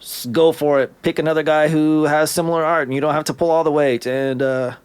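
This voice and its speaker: A man co-hosting a podcast, chatting in an animated, rambling way. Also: on the high side, fairly quick, clean tone, dry recording. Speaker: podcast co-host. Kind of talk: casual podcast chat.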